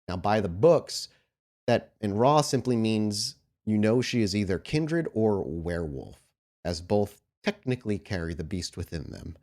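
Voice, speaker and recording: A man with a fairly low voice runs a tabletop horror game as its storyteller. He explains and describes things in deliberate, dramatic phrases, speaking as the game master to his players. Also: darkish tone.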